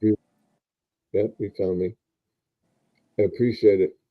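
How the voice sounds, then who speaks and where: A man's voice: lowish voice, flat delivery, a little slow, phone-quality sound. A retired man in his seventies hosting a casual live stream, rambling with many fillers and restarts. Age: 70s.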